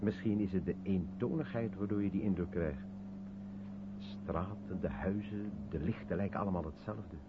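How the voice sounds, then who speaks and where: Guy acting deep voiced thoughtful sceptic, wry and deliberate, old radio play, tinny with hum. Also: natural voice. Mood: musing, uneasy. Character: reflective, sceptical South African man of colour, probing. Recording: thin, noisy old radio recording with hum.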